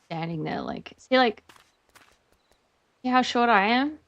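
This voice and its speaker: A woman's voice: on the high side, lively intonation, a little slow, vocal fry, fairly bright tone, muffled recording. Chatty Australian woman streaming a game. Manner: chatty, rambling, lots of fillers. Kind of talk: casual stream chat.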